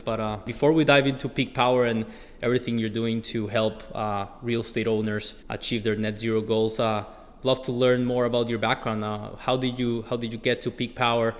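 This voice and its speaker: A man hosting a podcast interview, mid-pitched and unhurried. He asks long questions with plenty of "uh" fillers. His voice carries a long reverb tail. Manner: measured, many "uh" fillers when asking. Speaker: podcast host. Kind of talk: scripted intro then interview questions.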